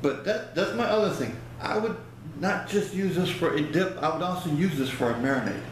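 Middle aged guy rambling into his webcam, kinda high voice, super echoey little room. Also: a little fast. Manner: rambling, folksy, loses his words. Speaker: vlogger. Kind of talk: casual product review vlog. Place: small indoor room by a plain wall. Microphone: webcam at close range. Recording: very echoey room, faint hiss.

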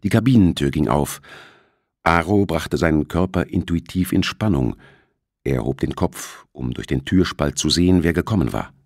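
A man's voice: a bass voice, expressive, normal speed, a little mellow, no echo, studio quality. A man reading a thriller as an audiobook narrator, in scripted narration.

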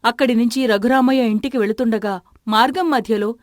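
A woman's voice: fairly high pitch, a little fast, no room sound, clean studio sound. A woman narrating a scripted moral story.

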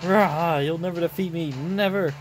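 A man's voice: on the high side, moderate pace, soft background music, lightly acted. A man voicing a vain, grandiose male knight from a game, full of drama. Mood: defiant.

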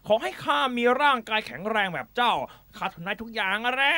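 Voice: medium pitch, lively intonation, a little fast, sharp tone, dry recording. A performer voicing a boastful, gullible bully snake with exaggerated delivery in a children's animal fable. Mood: eager, gullible. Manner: exaggerated, threatening then whining.

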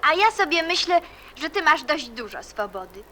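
A woman's voice: sits high, big pitch swings, moderate pace, bright, forceful tone, slight background noise, heavily acted. A woman playing a guarded, stubborn but hopeful teenage schoolgirl in a radio drama, speaking in a curt, matter-of-fact way. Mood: earnest.

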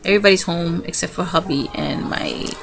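A woman's voice: fairly low pitch, fairly quick, creaky, low-quality recording. A middle-aged woman chatting casually and gushing as she shows off craft supplies in a home video. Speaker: craft vlogger. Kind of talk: casual show-and-tell unboxing. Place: home room by a window. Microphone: camera in front of her, hands in frame. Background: TV and children's noise.

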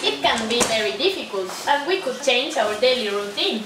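A woman's voice: fairly high pitch, lively intonation, moderate pace, natural voice. A young woman voicing an eager, nervous hunter brother in an amateur puppet play, hesitant and pleading. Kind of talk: amateur puppet show acting. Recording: echoey room, distant, audience noise.

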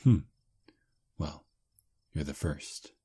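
A man playing a charming male vampire on a first date, soft, relaxed and self-deprecating, close to the mic in ASMR roleplay. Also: extremely low pitch, drawn-out words, slightly rough, no echo, full character voice. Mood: calm.

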